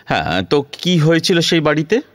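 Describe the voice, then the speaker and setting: A man's voice: a little high, sing-song, fairly quick, no room sound, studio quality. A man playing a polite, patient visiting doctor in an audio drama, courteous and a little hesitant. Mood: patient, mild. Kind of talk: Bengali audio drama acting.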